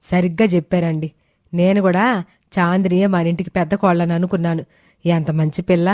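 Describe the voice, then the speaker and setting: A woman's voice: lowish voice, little pitch movement, a little fast, darkish tone, dry recording, studio quality, natural voice. A woman voicing an affectionate, proud middle-aged mother-in-law in a family story. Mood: pleased.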